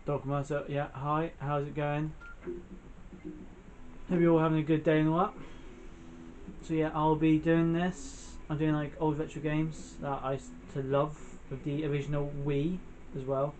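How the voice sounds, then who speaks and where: A man's voice: on the high side, flat delivery, normal speed, a little mellow, slight background noise, low-quality recording. A young man giving casual, off-the-cuff commentary as he plays a video game, often muttering to himself. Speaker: gamer, channel host. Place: indoors, in front of a TV screen. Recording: game music behind the voice. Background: video game music.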